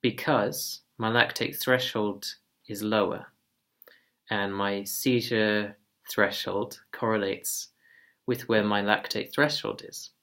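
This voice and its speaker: A man giving a casual personal update in a slow, monotone, calm voice. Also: fairly low pitch. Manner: soft-spoken, relaxed, occasional quiet laughs. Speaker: vlogger.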